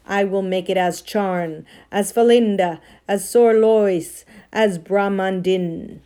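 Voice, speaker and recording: A woman voicing a haughty, menacing witch in an audiobook, declaiming imperiously in a clear, ringing voice. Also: mid-range voice, steady tone, slow pace, warm tone, close to an everyday voice. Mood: exultant menace. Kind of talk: audiobook character acting.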